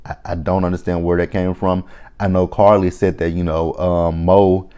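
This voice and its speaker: Blunt deep-voiced man in his thirties. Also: flat delivery, moderate pace, mellow, smooth. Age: 30s. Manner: blunt, opinionated, swears freely; rambling with frequent restarts. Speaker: reality TV reviewer. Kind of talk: casual recap commentary.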